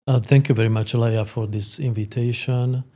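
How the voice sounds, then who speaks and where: A man in his fifties with a Slovak accent, a psychologist explaining things as an interview guest in a halting, hesitant way. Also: mid-range voice, steady tone, mellow. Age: 50s. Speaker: sports psychologist, podcast guest.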